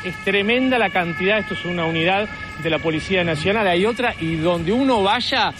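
A man's voice: sits high, brisk. A male reporter, speaking live from outdoors with lots of hesitant fillers. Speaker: street reporter. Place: outdoors on a city street. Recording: street noise behind. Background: traffic and street noise.

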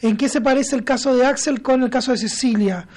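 A woman's voice: high voice, a little fast, a little mellow. A woman co-hosting a live broadcast, reading out poll results and viewer comments.

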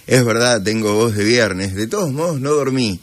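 A man's voice: mid-range voice, expressive, normal speed. A sleepy man with an Argentine accent hosting a morning radio show, chatting casually and unhurriedly with listeners.

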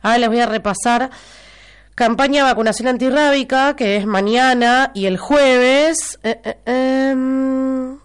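A woman's voice: high-pitched, steady tone, measured pace, smooth. Congested Argentine woman radio host. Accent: Rioplatense Argentine Spanish. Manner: chatty, teasing, repeats information for listeners. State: congested with a stuffy nose from a cold. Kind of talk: casual live radio chat with listener messages.

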